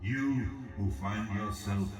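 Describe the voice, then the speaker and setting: An actor playing an ancient exiled god-emperor in a video game, cold, calculating and philosophical, speaking in a measured, solemn, formal way. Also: male voice, lowish voice. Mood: solemn approval. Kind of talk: scripted video game character dialogue. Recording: roomy distant reverb with background music.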